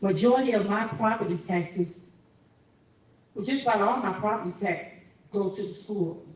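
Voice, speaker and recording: A woman's voice: medium pitch, moderate pace. A woman, asking questions in a chatty, informal way as interviewer. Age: 60s. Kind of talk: casual community interview. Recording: echoey room from a distance.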